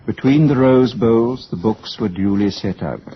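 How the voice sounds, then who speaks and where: A man's voice: medium pitch, a little slow, slight background noise. A man delivering scripted narration as the narrator of a radio drama. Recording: dull, lo-fi old radio recording.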